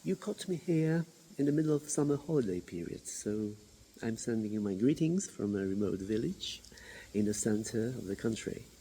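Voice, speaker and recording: A man gives a video self-introduction as a university coordinator, speaking with lively, swooping pitch and halting pauses. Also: slight background noise, poor audio. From Poland. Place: remote village.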